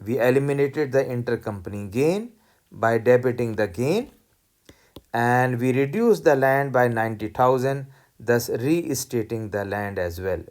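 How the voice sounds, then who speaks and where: A lecturer giving an instructional accounting-class style explanation, deliberate and step by step in a didactic way. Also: medium pitch, lively intonation, a little mellow.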